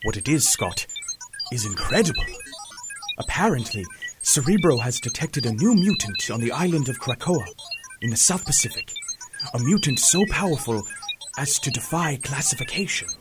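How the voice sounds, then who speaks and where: A man playing a grave, authoritative professor who mentors superheroes, speaking urgently and deliberately in a fan audio drama. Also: medium pitch, sing-song, over loud music, close to an everyday voice.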